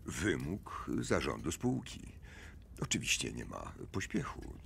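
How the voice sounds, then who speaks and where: An actor playing a courteous, hesitant businessman in a radio drama, speaking in formal, halting phrases. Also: very deep voice, wildly swooping pitch, creaky, a bit bright, slight background noise, full character voice. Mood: polite, measured. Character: courteous, hesitant businessman from Łódź named Liebenthal.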